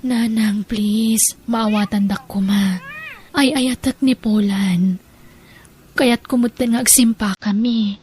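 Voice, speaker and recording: A woman's voice: a little high, steady tone, slow, a little mellow, breathy edge, exaggerated voice. A woman playing a tender, patient wife and mother in an audio drama, with an Ilocano accent. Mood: pleading.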